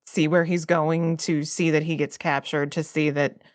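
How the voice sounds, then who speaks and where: A woman playing a tabletop roleplaying game, casually improvising and thinking aloud in a halting way. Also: low voice, flat delivery, dry recording.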